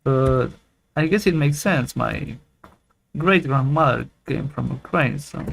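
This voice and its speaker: A man giving a casual, rambling software tutorial, joking and humming tunes as he goes. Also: mid-range voice, slow, gravelly. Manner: playful, rambling, hums and sings tunes while waiting. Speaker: tech YouTuber. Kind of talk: casual screen-recorded tutorial.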